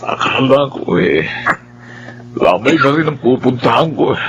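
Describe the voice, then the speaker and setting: An actor playing an old Filipino man in a TV drama, an emotional, chiding friend with an expressive, exclamatory way of talking. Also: medium pitch, a little slow, muffled recording. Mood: scolding, worried. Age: older. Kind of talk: scripted TV drama dialogue. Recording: background music under the dialogue.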